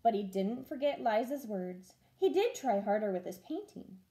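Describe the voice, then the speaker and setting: A woman teacher reading a children's storybook aloud in an expressive storytelling style. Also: mid-range voice, normal speed.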